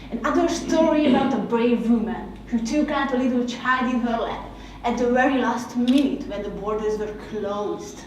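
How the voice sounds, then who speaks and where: Hungarian-accented woman, slow heartfelt essay, boomy echo, mic kinda far off. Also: slight background noise. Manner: slow, measured, heartfelt delivery. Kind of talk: reflective spoken essay. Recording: very echoey room, mic at a distance.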